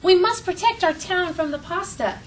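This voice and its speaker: A woman's voice: very high voice, moderate pace, pitched above the performer's own voice. A woman reading the part of an alarmed, commanding town mayor in a storybook, exclaiming orders urgently. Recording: distant mic, faint classroom noise.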